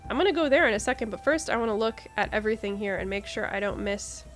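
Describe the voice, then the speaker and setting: A woman giving casual, expressive playthrough commentary, thinking aloud. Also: medium pitch, fast talker. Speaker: game streamer. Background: game music.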